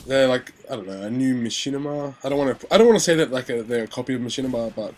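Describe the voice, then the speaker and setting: A man's voice: medium pitch, expressive, rapid-fire, darkish tone. A young man giving casual gaming commentary, rambling with many fillers and restarts, his nose still stuffy and his voice a little sore from illness.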